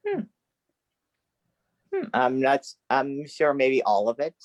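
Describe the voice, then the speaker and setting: A man, a student playwright, speaking in a hesitant, halting way with brief answers. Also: mid-range voice, wildly swooping pitch, no echo.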